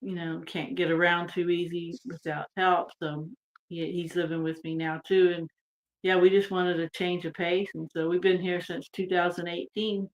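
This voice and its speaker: A woman from Texas, talking as a guest on a video-call podcast in a relaxed, rambling way. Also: lowish voice, moderate pace, low-quality recording. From United States (Texas). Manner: relaxed and rambling, trailing off with fillers. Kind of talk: casual interview chat.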